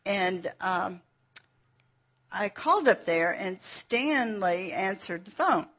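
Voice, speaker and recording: A woman's voice: medium pitch, lively intonation, takes it slowly, fairly bright tone, husky. A middle-aged woman with a Southern Georgia accent, teaching a Bible session in a folksy, chatty way and laughing at herself as she tells stories. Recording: dull lo-fi recording.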